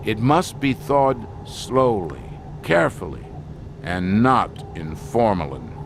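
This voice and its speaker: An actor playing an insistent, argumentative biologist in an audio drama, lecturing precisely and emphatically. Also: male voice, on the low side, very animated, takes it slowly, over music, heavily acted. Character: insistent, argumentative male expedition biologist defending his specimen.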